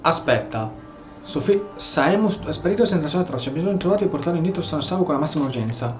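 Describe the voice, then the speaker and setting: A man's voice: on the high side, animated, very fast. An Italian man giving casual role-play commentary as he plays a video game. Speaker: gamer narrating his playthrough. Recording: echoey room, game music behind. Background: game music.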